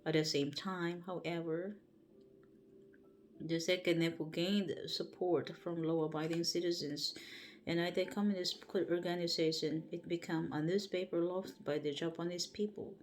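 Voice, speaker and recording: A woman with a Filipino accent reads a book aloud on a home livestream before bed. She reads carefully and haltingly, stopping to correct herself. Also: fairly low pitch, fairly even intonation, slight background noise. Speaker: livestream host. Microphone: livestream setup.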